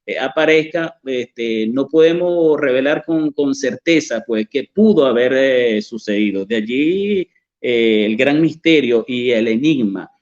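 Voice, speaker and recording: A man's voice: mid-range voice, unhurried, a little mellow, muffled recording. A man with a Venezuelan accent, an author answering interview questions in a hesitant way with many fillers and restarts. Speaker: author and journalist, interview guest.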